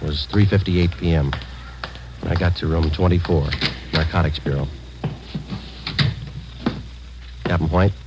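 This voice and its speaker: Noisy old radio recording, actor as laconic male police detective, low voice, brisk clipped delivery, matter-of-fact. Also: natural voice. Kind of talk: 1950s radio drama, first-person narration and dialogue.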